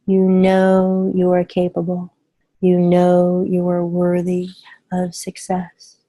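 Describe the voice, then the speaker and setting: A woman delivering scripted hypnosis affirmations as a hypnotherapist, soothing and deliberate, with emphatic repetition. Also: on the low side, monotone, warm tone.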